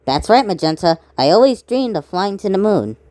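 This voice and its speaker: A woman doing amateur voice acting for a fan-made animated cartoon. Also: mid-range voice, moderate pace, no room sound.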